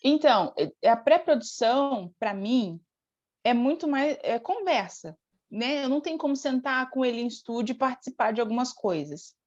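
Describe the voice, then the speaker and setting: A Brazilian woman with a fairly high voice talks casually as a guest. She tells her stories in an animated, informal way. The sound is a little dull and lo-fi. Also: moderate pace. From Brazil, born in Rio de Janeiro state, raised in Paraná from age eight. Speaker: singer, interview guest. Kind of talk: casual chat, storytelling.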